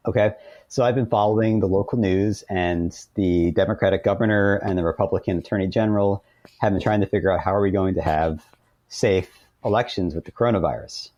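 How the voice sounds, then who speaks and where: A man's voice: deep voice, fairly quick, a little mellow, dry recording, muffled recording. A man speaking as an interview guest, explaining things informally and point by point in casual conversation.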